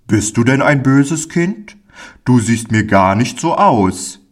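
A man plays a kindly, fatherly villager from a storybook. He takes his time, reassuring and gently admonishing in an earnest, folksy manner, at a medium pitch. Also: expressive. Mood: friendly, curious. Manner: slow, soothing, earnest folksy address.

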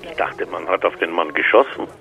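A man's voice: low voice, lively intonation, fairly quick, creaky, crowd noise. An actor playing an irritated, wary male police superior heard through a telephone in a radio crime drama, curt and disapproving. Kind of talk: radio play dialogue.

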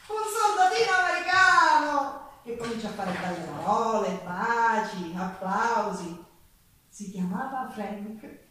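An actress playing an earthy, passionate Sicilian working-class woman who recalls her hard youth in a dramatic theatrical monologue, with a Sicilian accent. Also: a little high. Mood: wistful, excited. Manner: dramatic, expressive, shifting from hushed to loud. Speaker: stage actress in a one-woman show. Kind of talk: theatrical monologue storytelling with bursts of song. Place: live theatre stage. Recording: echoey theatre from far off, faint noise.

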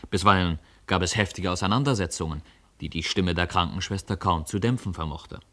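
Male narrator, literary radio play: deep register, scripted storytelling. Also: a little fast, a bit bright.

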